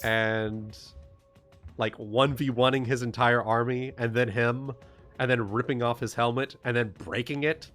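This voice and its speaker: A man casually chatting as game master of an online tabletop game, talking in a halting, unscripted way and swearing freely. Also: medium pitch, fairly bright tone. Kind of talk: casual chat and off-the-cuff game recap. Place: online group voice call. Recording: background music behind the voice.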